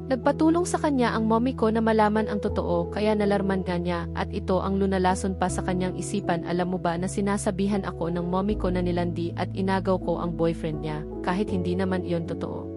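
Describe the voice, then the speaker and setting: A woman's voice: fairly low pitch, fairly even intonation, a little fast, few pauses, close to an everyday voice. A woman with a Filipino accent voicing an earnest, hurt young woman confiding at length in an audiobook story. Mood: troubled. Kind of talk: audiobook dialogue. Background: background music.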